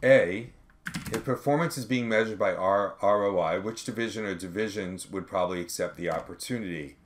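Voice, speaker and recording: Man, deep voice, accounting instructor, measured step-by-step explanation, thinking aloud, slight background noise, typing clicks. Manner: measured, reasoning aloud through calculations.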